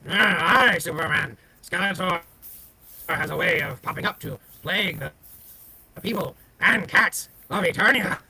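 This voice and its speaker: Guy doing a hesitant loyal sidekick, high gravelly voice, comic book reading. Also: expressive, moderate pace, pushed and bright, heavily acted, low-quality recording. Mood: respectful, explanatory. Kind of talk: dramatic comic book table read.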